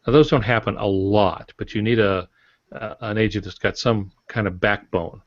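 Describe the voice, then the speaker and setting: A man's voice: on the low side, moderate pace. A man speaking as an author on a podcast, explaining things frankly in a casual, colloquial way. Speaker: author, podcast guest or panelist. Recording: dull, roomy podcast audio.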